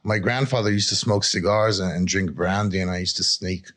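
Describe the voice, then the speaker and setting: Male interview guest from Israel, very low pitch. Addiction coach telling personal stories in a halting, reflective way. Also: steady tone, fairly bright tone. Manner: halting and reflective, often adds "you know". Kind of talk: candid personal storytelling in an interview.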